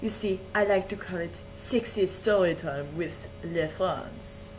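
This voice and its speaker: A woman voicing a vain, flirtatious adult Frenchman who reads verse aloud in a slow, suggestive way. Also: on the low side, music in the background, lightly acted, low-quality recording. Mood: sultry. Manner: slow, lingering, suggestive delivery with chuckles. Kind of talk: playful dramatic reading of verse.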